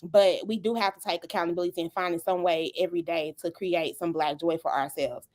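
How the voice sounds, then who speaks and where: A woman's voice: on the low side, steady tone, a little fast, a little mellow. A woman hosting a podcast panel, talking animatedly, repeating herself for emphasis and laughing often. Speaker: podcast host. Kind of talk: casual panel discussion.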